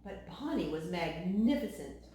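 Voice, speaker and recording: A woman's voice: on the low side, very animated, measured pace, dark tone. Echoey storytelling woman reading aloud. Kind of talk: expressive children's storybook read-aloud. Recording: very echoey room, faint noise.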